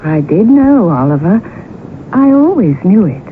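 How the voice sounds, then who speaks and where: An actress playing a vague, affectionate and evasive wife in a radio drama. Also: female voice, medium pitch, very animated, a little slow, phone-quality sound. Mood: fond, weary. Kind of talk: 1980s radio drama acting. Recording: band-limited, slightly noisy old radio recording.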